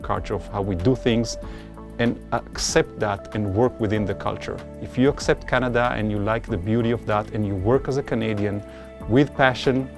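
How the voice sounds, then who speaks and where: A man speaking earnestly as a mentor in a documentary interview, giving emphatic advice. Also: lowish voice. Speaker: physician-scientist mentor.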